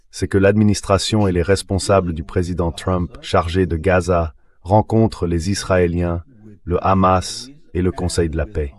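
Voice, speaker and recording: Very deep-voiced male political analyst. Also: a little mellow. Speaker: interview guest, political analyst. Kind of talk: political analysis, dubbed translation read by synthetic voice.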